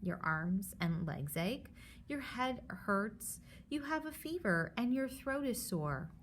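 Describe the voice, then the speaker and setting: A woman's voice: fairly high pitch, sing-song, slow pace, a bit bright, a little hiss or hum. A woman teacher reading a children's book aloud, stopping to explain things simply and reassure her young listeners.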